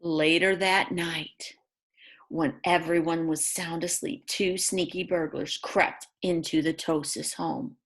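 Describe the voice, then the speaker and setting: A woman reads a picture book aloud to young children in an expressive way, adding chatty asides about the pictures. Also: low-pitched, takes it slowly. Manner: expressive, exclaims and gasps over the pictures. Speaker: read-aloud storyteller for children.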